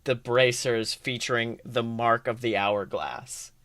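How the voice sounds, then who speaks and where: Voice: mid-range voice, fairly even intonation, unhurried, fairly bright tone, dry recording. A game master narrating a tabletop adventure in an informal, improvised way, swearing casually. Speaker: game master and podcast host.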